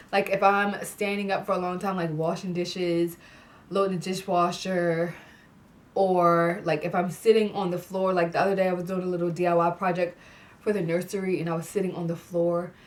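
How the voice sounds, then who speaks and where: A woman's voice: on the low side, recorded from a distance, slight background noise. Chatty congested young woman vlogger. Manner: chatty, lots of "like", laughs often. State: congested, clears throat. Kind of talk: casual pregnancy update vlog. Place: indoor room with fairy lights.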